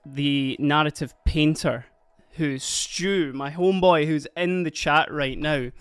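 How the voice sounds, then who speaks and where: A Scottish man chatting loosely on a livestream from a home setup, his voice sitting a touch high. He rambles, laughs easily and keeps talking while he fiddles with other things, with quiet music playing low behind him. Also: slow, smooth, no echo. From Scotland (Dundee). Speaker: streamer. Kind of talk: casual livestream chat. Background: faint background music.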